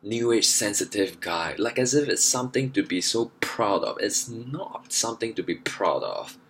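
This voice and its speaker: A man's voice: fairly low pitch, lively intonation, normal speed, a bit bright, a little hiss or hum. A young man talking earnestly in a monologue, stressing his points by repeating phrases. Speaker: vlogger. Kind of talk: monologue to camera.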